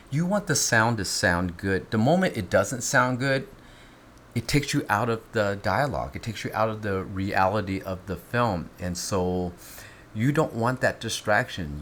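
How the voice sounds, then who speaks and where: A man in casual instructional chat, explaining his film gear step by step with lively, teasing asides. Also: lowish voice, slight background noise.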